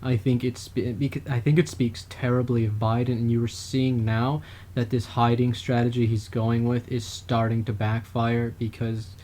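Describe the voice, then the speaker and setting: A man's voice: medium pitch, level tone, moderate pace, dark tone. A man co-hosting a political talk podcast, chatting casually and mocking opponents in a raised voice. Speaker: podcast co-host. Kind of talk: political talk show chat.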